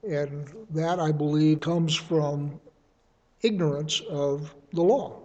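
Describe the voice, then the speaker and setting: A man teaching a verse-by-verse Bible study, reasoning aloud in a measured way and offering his readings as suggestions. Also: fairly high pitch, fairly even intonation, dark tone, in a large hall, dull audio.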